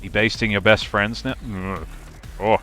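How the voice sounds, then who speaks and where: A man's voice: on the low side, steady tone, unhurried, bright, forceful tone. A man narrating a game as a live streamer, reading aloud with casual, sweary reactions. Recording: faint music behind.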